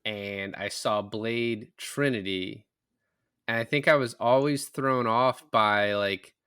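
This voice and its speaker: A man's voice: on the low side, steady tone, slow, bright, forceful tone, clean tone, dry recording, clean studio sound. A man co-hosting a podcast, discussing films casually in loose, meandering phrases. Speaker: podcast co-host.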